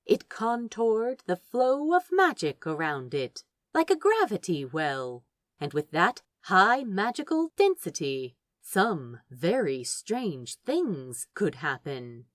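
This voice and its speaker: A woman's voice: fairly low pitch, sing-song, slow, no echo, clean studio sound. A woman reading a story aloud as a scripted narrator. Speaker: audiobook-style narrator of fan fiction.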